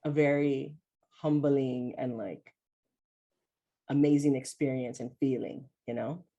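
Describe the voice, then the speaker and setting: Host: woman, low voice, reflective and friendly, casual talk full of fillers. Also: fairly even intonation, takes it slowly, muffled recording. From Hawaii. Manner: warm, reflective, with many "like" and "you know" fillers. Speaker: host, mental health coach. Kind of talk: casual chat between friends.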